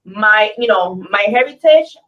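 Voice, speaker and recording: A woman's voice: mid-range voice, expressive, a little slow. A woman with a Spanish accent, an actress and model chatting candidly as a guest. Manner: candid, laughing, code-switches into Spanish. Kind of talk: casual interview chat. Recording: distant mic, muffled lo-fi sound.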